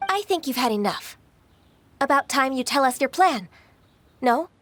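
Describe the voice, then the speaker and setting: Poised, high-voiced young official. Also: female voice, lively intonation, a bit bright, a little hiss or hum. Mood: stern. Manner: formal, measured, solemn. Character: poised, earnest young female government secretary, politely stern. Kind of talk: video game voice acting.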